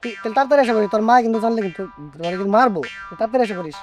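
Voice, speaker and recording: A man's voice: high-pitched, racing, over music, close to an everyday voice. A man playing a worried father who scolds and insists, in short-film drama dialogue. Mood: worried warning. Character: worried, scolding village father of a feverish child.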